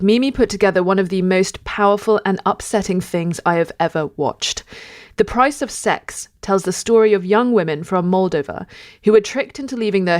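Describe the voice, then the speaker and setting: A woman host reading an earnest, measured introduction and then asking her guest a question. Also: lowish voice, no echo, studio quality. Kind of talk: scripted introduction and interview questions.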